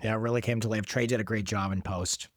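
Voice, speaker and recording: A man's voice: lowish voice, steady tone, fast, dry recording, clean studio sound. A man chatting casually in an interview as the creator of a show, telling things emphatically in short, broken phrases. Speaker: show creator and director, guest.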